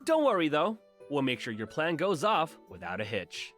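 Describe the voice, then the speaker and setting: A man's voice: a little high, huge pitch swings, normal speed, fairly bright tone. A man playing a cheeky, eager young henchman with a cocky manner in a game story. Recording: music behind.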